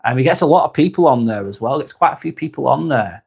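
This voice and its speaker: A man hosting a live stream, reading out viewer comments and chatting in a relaxed, rambling way with plenty of restarts and the odd swear word. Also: medium pitch, quick pace, creaky, breathy edge.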